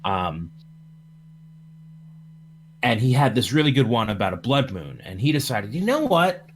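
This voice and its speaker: A man's voice: medium pitch, big pitch swings, a little slow, a little hiss or hum. A man hosting a livestream podcast over computer voice chat, chatting casually in a rambling, jokey way. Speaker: podcast host.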